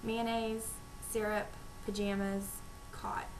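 A young woman from North Texas with a Texas accent, chatting to camera at home with lots of ums and reading out a word list over a weak, quiet webcam microphone. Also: medium pitch, level tone, slow, poor audio. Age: young adult. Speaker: vlogger. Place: small room at home, indoors. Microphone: webcam microphone, low volume. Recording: quiet webcam mic with hiss.

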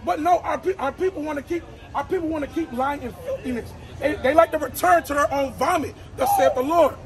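A man preaching on a city sidewalk at night, forceful and repetitive, with many stammered restarts, recorded on a handheld phone. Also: very high voice, fairly quick, low-quality recording. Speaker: street preacher. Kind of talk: street preaching and debate. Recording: crowd noise on a street.

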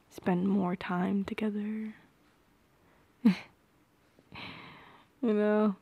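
Soft, slow-talking young woman, ASMR roleplay. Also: medium pitch, no room sound. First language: Polish. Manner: soft-spoken, hesitant, often dropping into whispers. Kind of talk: intimate ASMR roleplay acting. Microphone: close microphone.

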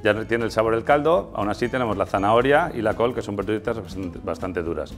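A man's voice: on the low side, lively intonation, fast talker, soft background music. A middle-aged man with an accent from Spain, explaining a cooking demonstration informally and instructively as a guest chef. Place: indoors in a tiled kitchen set.

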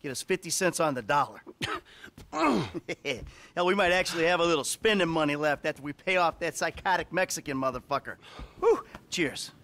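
An actor playing a weary, cagey middle-aged career criminal in a game, wry and profane, with an American accent. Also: male voice, a little high, animated, moderate pace, bright, forceful tone. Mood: upbeat. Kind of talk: video game cutscene acting.

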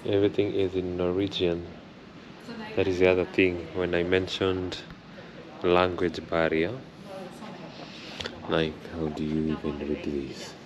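A young man chatting slowly and thoughtfully as he films a walking travel vlog, first outdoors at a rainy harbor, then inside a concert hall. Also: low voice, flat delivery, dull audio, crowd noise. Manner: slow, thoughtful, chatty, says he struggles with R and L. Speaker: travel vlogger. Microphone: camera held close at chest height. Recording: street noise behind the voice.

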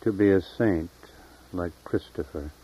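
A man reading his own poetry in a solemn, measured, deliberate way with long pauses, as a poet at a reading. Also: low voice, a little hiss or hum. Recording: dull lo-fi 1970s tape recording.

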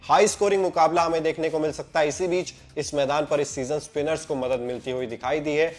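Young man presenting a cricket pitch report. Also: fairly even intonation, faint music behind. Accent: Hindi. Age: young adult. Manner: brisk, emphatic delivery.

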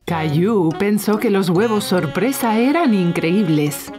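A woman's voice: mid-range voice, lively intonation, unhurried, music in the background, natural voice. A woman narrating a children's cartoon as a kindly storyteller, reading scripted lines. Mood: calm storytelling. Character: kindly storyteller narrating a little boy's day.

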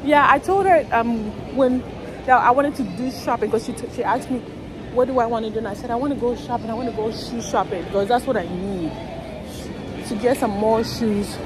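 A woman in her thirties chatting excitedly to her phone camera while walking through city streets and a busy train station. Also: on the high side, a little fast. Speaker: vlogger. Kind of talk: casual walking vlog. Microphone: handheld phone held close. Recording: noisy street and station crowd.

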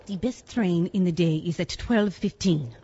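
Husky, cold, unwelcoming wife. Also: female voice, on the low side, unhurried, warm tone. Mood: cold, urgent. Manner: terse, cool. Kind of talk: audio drama acting. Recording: dull, muffled recording.

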